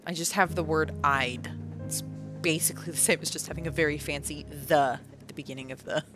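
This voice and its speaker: A woman in her thirties with a low voice talks casually on a live stream and drifts from one thought to the next with amused laughs. Music plays clearly beneath her. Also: fairly even intonation, a little fast. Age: 30s. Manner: rambling, self-amused, laughs often.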